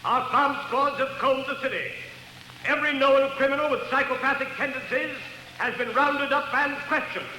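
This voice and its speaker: Stiff official police boss, sharp bright voice, roomy echo. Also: male voice, extremely high pitch, normal speed, heavily acted. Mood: stern. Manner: firm, official, defensive. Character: defensive middle-aged male police commissioner. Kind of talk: 1930s radio drama acting. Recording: echoey old radio recording with hiss.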